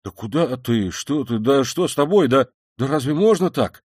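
A man's voice: medium pitch, expressive, moderate pace, a little mellow, slightly rough, no echo, voice pushed up. A man plays a loyal, hot-headed young man from a storybook novel. His voice climbs above the narrator's, impassioned, bewildered and exclaiming, in a dry recording.

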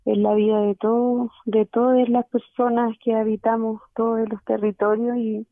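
A woman's voice: on the high side, level tone, normal speed, dry recording. A woman teacher and dancer answering radio interview questions over the phone, hesitant with many filler sounds. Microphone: telephone. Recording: tinny phone line.

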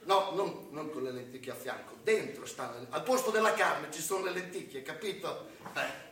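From a little way off in an echoing room with faint noise comes a rambling, irreverent chef, played by a male stage actor. His voice is high and forceful. He delivers a sardonic theatre monologue in colloquial, exclamatory bursts, digressing and prodding listeners with small questions. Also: animated, moderate pace. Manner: colloquial, digressive, exclamatory, punctuated with rhetorical check-ins. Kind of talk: comic theatre monologue, colloquial direct address. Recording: distant roomy live recording, faint noise.

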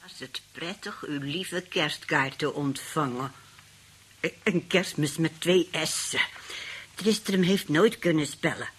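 An actress playing a feisty, scheming old great-aunt in a radio play, husky and indignant, grumbling and outspoken. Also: female voice, deep voice, slow, exaggerated voice. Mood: suspicious, scornful. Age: older.

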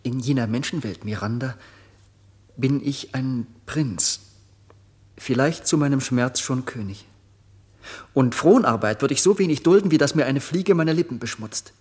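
An actor playing a noble young prince deeply in love in a German radio drama, lofty and fervent in manner. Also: male voice, lively intonation, unhurried, darkish tone, breathy edge. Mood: solemn, sincere. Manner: lofty, solemn, fervent declarations.

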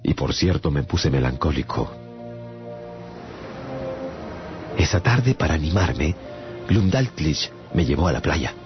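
A man's voice: very deep voice, expressive, normal speed, highs cut off, over music. A man playing a melancholic traveler who narrates his own adventures in a dramatized radio story. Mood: reflective, melancholic. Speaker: narrator and lead actor.

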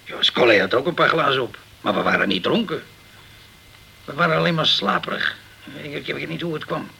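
An actor playing a guilt-ridden, hard-drinking, middle-aged ship's captain in a radio crime drama, halting and defensive, stumbling over his words. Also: a little high, vocal fry, bright, forceful tone, breathy edge, full character voice.

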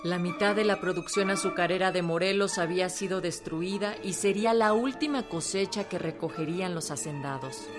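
A woman reads historical narration for radio. Her voice is a little low, and loud music plays underneath. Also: a little fast, hardly any pauses. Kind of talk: scripted historical documentary narration.

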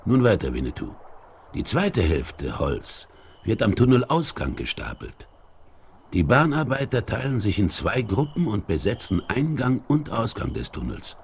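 A man's voice: on the low side, wildly swooping pitch, measured pace, full character voice. A man playing a commanding, ironic frontier hero in a German radio play, with an authoritative manner. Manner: authoritative, crisp orders. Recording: faintly noisy 1970s vinyl rip.

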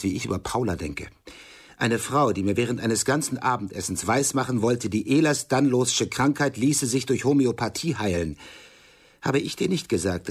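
Haughty, wounded art buyer: male, slightly husky, very animated intonation, eloquent and biting, radio play. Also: mid-range voice. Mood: indignant, reproachful. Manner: eloquent, biting, pointedly precise. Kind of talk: stage-play dialogue in radio drama.

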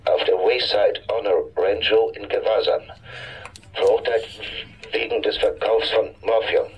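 Helpful police colleague in a radio play. His voice is low and very slow. He gives each item separately, plainly and methodically. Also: steady tone, breathy edge, rough recording. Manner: halting, item-by-item delivery. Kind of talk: German radio drama dialogue.

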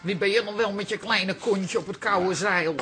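An actress in a radio comedy playing a chatty, self-important former stage actress with a theatrical, gushing manner. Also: female voice, medium pitch, normal speed, fairly bright tone, slight background noise, natural voice. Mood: indignant. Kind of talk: radio comedy drama acting.

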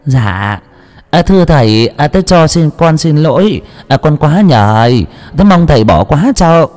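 A shrill, haughty landlady of a rich house, played by a man. She barks orders and scorns others in a raised, lively voice. Faint music runs behind. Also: moderate pace, long reverb tail. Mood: fawning. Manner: bossy, scornful.

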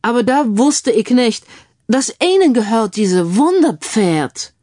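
A woman acts out a jovial, eccentric English aristocrat for a radio crime play. The voice is pitched up and dry, barking out broken German with a heavy English accent and English words mixed in. The delivery is hearty and effusive. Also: big pitch swings, slow, darkish tone, dry recording, heavily acted. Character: boisterous, eccentric English earl, hearty red-haired man.

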